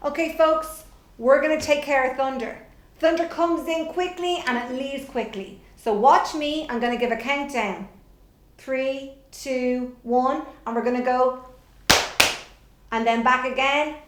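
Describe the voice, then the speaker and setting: A woman's voice: sits high, measured pace. A middle-aged woman leading an instructional drama lesson for children, giving encouraging step-by-step directions. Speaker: workshop presenter for children. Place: hallway-like room in a house, indoors. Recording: roomy, echoey indoor sound.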